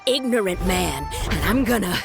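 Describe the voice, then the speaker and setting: A woman's voice: mid-range voice, lively intonation, moderate pace, vocal fry. A woman playing a boastful, hot-tempered young archaeologist in an anime game, pompous and brash, over music. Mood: indignant. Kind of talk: anime video game voice acting.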